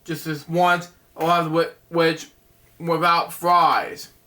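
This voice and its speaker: A man's voice: a little high, flat delivery, slow, pushed and bright. A man voicing a mean dragon from a bedtime storybook. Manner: menacing, then apologetic.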